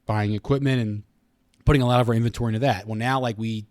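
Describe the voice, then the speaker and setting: An American man in his thirties talks as an interview guest, explaining at length in casual, conversational speech. His voice sits at a middling pitch, and the sound is dry, with very little room in it. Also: steady tone, quick pace, darkish tone, no echo. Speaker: radio station communications director, interview guest.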